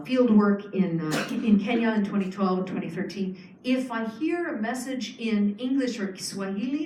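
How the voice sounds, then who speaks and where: A woman's voice: medium pitch, darkish tone, distant mic, slight background noise. A woman giving a public lecture, explaining earnestly with frequent fillers and restarts. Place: lecture hall. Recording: echoey hall, speaker off-mic.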